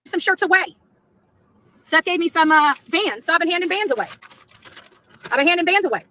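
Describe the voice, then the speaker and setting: A woman's voice: very high-pitched, expressive, a little fast, bright, forceful tone, no room sound, clean studio sound. A woman on a confrontational live rant, taunting and repeating her phrases, with swearing. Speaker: activist streamer in a played clip.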